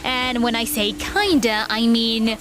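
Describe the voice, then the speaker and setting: A woman's voice: on the high side, takes it slowly, noisy background. A woman playing a modern, breezy podcast host, explaining things in a casual, wry, emphatic way in a scripted conversational show. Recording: light music bed behind voice.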